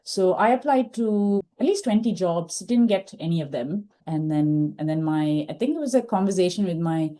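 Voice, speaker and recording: A woman's voice: fairly low pitch, lively intonation, moderate pace, few pauses, dark tone. A middle-aged woman whose first language is Tamil, a podcast guest thoughtfully telling her own career story, with frequent fillers and restarts. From India. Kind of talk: reflective interview storytelling.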